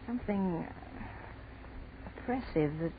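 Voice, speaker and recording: A woman's voice: medium pitch, lively intonation, slow pace. Frightened young woman, tinny old radio. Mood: frightened, hushed. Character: frightened young college woman, uneasy and insistent. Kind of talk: 1930s radio horror acting. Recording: scratchy, tinny 1930s radio recording.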